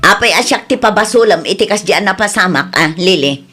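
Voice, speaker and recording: A woman's voice: medium pitch, animated, fairly quick, bright, forceful tone, soft background music. An actress playing an exasperated, scolding woman in an Ilocano comedy radio drama, emphatic, with a Filipino accent. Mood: indignant.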